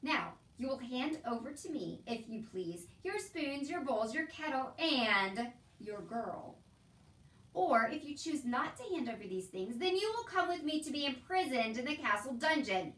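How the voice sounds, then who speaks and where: A woman's voice: high voice, lively intonation, a little slow, a bit bright. A woman voicing a stern, dutiful male soldier in a storybook reading, speaking in a formal, proclaiming way. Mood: stern, official. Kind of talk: storybook read-aloud character voice. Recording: roomy echo, distant from mic.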